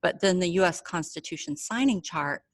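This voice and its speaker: A woman's voice: fairly low pitch, lively intonation, moderate pace, no echo. An American woman giving an informal, explanatory astrology talk to viewers, going patiently step by step and checking that they follow. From United States. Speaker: astrologer presenting a chart reading. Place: indoors, away from home.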